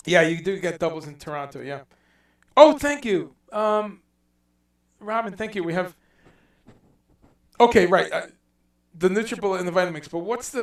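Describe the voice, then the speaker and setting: A man hosting a casual livestream, rambling, reading out viewer comments and swearing now and then. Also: a little high. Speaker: livestream host. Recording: echoey room, voice a bit far from mic.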